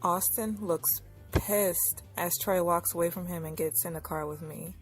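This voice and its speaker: Actress as low-voiced young woman telling her story. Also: steady tone, a little slow, soft background music. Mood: tense. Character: Iris, weary young married woman narrating her own story. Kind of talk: first-person audio drama narration and dialogue.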